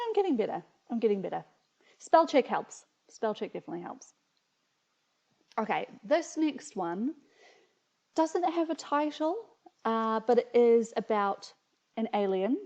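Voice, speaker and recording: Slow, mellow-voiced New Zealand woman vlogger. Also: fairly high pitch. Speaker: video host reading her childhood stories. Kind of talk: casual chatty commentary and read-aloud.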